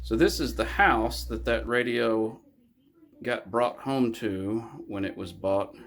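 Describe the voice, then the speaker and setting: An older man giving a casual, explanatory walkthrough in a halting way, pausing between phrases. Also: medium pitch. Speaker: hobbyist presenter restoring a vintage radio. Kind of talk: casual narrated walkthrough and demonstration.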